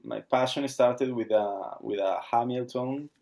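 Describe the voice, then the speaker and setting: A man, speaking haltingly as he searches for words while being interviewed about founding his watch brand. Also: medium pitch, vocal fry, dark tone. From Argentina. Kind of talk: casual interview.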